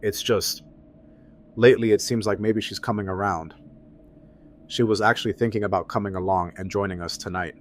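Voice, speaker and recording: Guy acting a chill friendly dungeon master, low voice, slangy, bit of noise on the audio drama. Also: steady tone, normal speed, pauses often, close to an everyday voice. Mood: friendly, curious. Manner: casual, slangy. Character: friendly, loyal, laid-back male game master.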